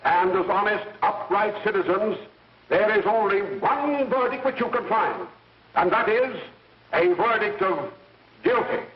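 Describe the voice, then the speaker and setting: A stern courtroom prosecutor declaims with righteous weight in a high voice. It is a 1930s film soundtrack, echoey and heard from some distance. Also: male voice, expressive, a little slow, highs cut off. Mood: righteous, grave. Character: stern male prosecutor pleading to a jury.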